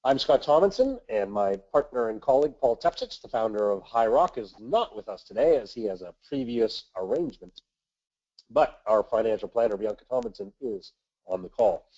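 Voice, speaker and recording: A man with a nasal voice from a cold, hosting a weekly investment webinar and reading market commentary in a measured way with the odd filler. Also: mid-range voice, expressive, warm tone, muffled recording. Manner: measured, reads from notes with filler uhs. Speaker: webinar host, investment manager.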